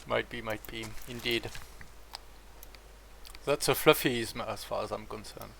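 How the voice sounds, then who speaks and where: A man's voice: on the low side, steady tone, a little slow, gravelly, a bit bright, a little hiss or hum. A French man streaming a strategy game, chatting in English with a French accent, rambling and full of hesitations. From France. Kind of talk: casual chat while playing.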